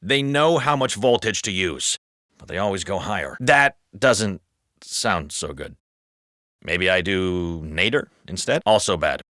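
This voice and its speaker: An actor playing a jaded, foul-mouthed, sardonic police officer in a video game. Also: male voice, deep voice, expressive, unhurried, sharp tone, no echo, clean studio sound, heavily acted. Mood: wry, gossipy. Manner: gossipy, sardonic, swearing. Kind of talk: scripted video game voice acting.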